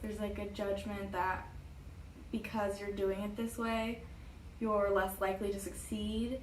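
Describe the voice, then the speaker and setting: A woman's voice: mid-range voice, level tone, unhurried, echoey room, recorded from a distance, a little hiss or hum, low-quality recording. A young woman in her twenties, a student, chatting casually and upbeat, with frequent "like" and laughs. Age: 20s. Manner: upbeat, giggly, lots of "like". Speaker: student interviewee. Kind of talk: casual chat.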